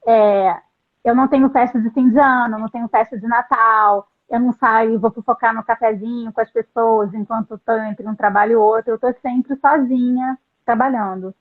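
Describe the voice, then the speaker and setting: A middle-aged woman with a somewhat high voice tells her story in an earnest, halting way, often restarting her sentences. The sound is thin and phone-like, as over a video call. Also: steady tone. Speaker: translator, interview guest. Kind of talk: reflective interview storytelling. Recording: tinny phone-like line.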